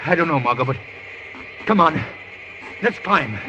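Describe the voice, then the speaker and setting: A man acting a resolute, cool-headed gentleman sleuth in an old radio drama. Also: a little high, expressive, unhurried. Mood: urgent. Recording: muffled, noisy old radio recording.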